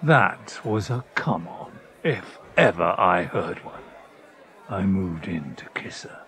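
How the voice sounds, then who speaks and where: A man's voice: deep voice, expressive, takes it slowly, a bit bright, full character voice. An actor playing a regretful, outspoken old man looking back on his life in an audio drama. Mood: rueful.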